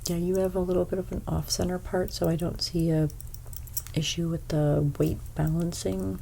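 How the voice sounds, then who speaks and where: A young woman with a stuffy nose giving an ASMR haircut role play as a hairstylist. She speaks softly, often slips into whispers, and makes gentle salon small talk. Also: on the low side, level tone, moderate pace, vocal fry, slight background noise. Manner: soft-spoken, drifting into whispers.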